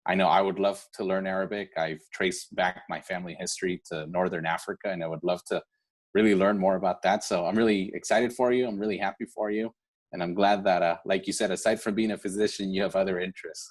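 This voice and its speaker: Man, deep voice, event host, earnest, encouraging, informal heartfelt address, online ceremony. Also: steady tone, quick pace. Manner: earnest, encouraging, often seeking agreement with "right?". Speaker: event host for a scholarship foundation. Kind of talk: heartfelt informal speech at a virtual awards event.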